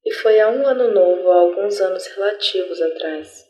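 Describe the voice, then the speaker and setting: A woman playing a lonely, wistful alien lizard who records a solemn, measured log in a science fiction audio drama. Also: medium pitch, dark tone, close to an everyday voice, rough recording. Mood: calm, fond. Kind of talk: scripted audio drama diary monologue.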